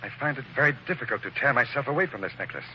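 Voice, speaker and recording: A man's voice: medium pitch, animated, very fast, lightly acted. A man playing a wealthy, middle-aged father who is hearty but nervous and laughs it off, in a 1940s radio drama. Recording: dull, noisy old radio recording.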